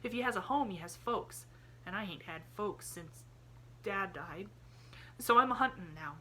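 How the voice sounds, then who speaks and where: A woman's voice: medium pitch, lively intonation, moderate pace, bright, forceful tone. Woman doing a proud ragged orphan boy. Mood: earnest. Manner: rough rural dialect, dropped g's and double negatives.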